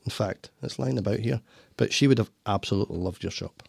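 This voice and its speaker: A man's voice: fairly low pitch, fast talker, gravelly, darkish tone, slightly rough, dry recording. A Scottish man hosting a casual podcast chat from his studio, rambling with frequent restarts. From Scotland. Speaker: podcast host. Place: home studio.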